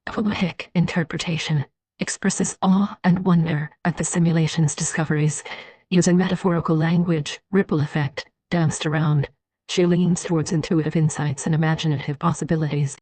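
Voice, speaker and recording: A woman reading scripted analytical narration in a formal, measured manner. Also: sits low, steady tone, mellow, slightly rough, dry recording.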